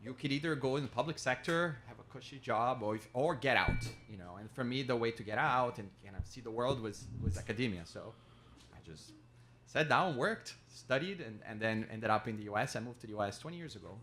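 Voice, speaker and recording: A middle-aged man from Brazil, an economics professor and founder, answering interview questions in an animated way, with rhetorical asides and frequent restarts. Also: medium pitch, brisk, noisy background.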